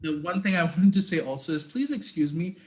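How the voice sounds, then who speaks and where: A man's voice: fairly high pitch, animated, a little fast. A man with a sore throat giving an earnest, reflective talk as an interfaith activist, then answering questions over a video call. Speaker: guest speaker, interfaith activist.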